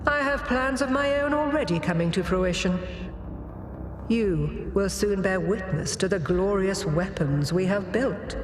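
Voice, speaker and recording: A performer voicing an aging, stern alien former ruler in a game, speaking in a measured, solemn, commanding manner. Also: medium pitch, noisy background, natural voice. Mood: menacing resolve. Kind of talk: scripted dramatic game cutscene dialogue. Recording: long hall reverb over loud music.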